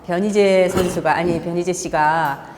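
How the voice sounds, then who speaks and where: A woman co-hosts in a teasing, dryly witty way, with a lowish and slightly hoarse voice. The sound carries a long hall reverb over faint background noise. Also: steady tone, measured pace, a little mellow. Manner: teasing, dry wit. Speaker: co-host filling in. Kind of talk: casual talk show banter.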